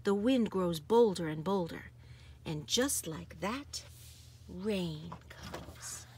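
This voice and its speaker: A woman reading a picture book aloud in an expressive, dramatic manner. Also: fairly low pitch, slow, background noise. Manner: animated, dramatic, varies from hushed to exuberant. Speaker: storybook reader.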